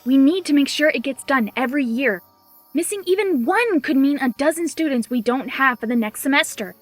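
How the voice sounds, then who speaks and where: A woman's voice: high voice, lively intonation, a little fast, no room sound, soft background music. A woman voicing an earnest, anxious young female unicorn pony in a dramatised fan fiction story. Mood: anxious pleading.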